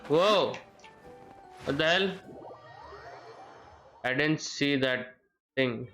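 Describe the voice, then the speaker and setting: A man giving casual live gameplay commentary, halting and muttering to himself as he streams. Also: on the high side, fairly bright tone. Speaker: game streamer. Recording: game music behind voice. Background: game music.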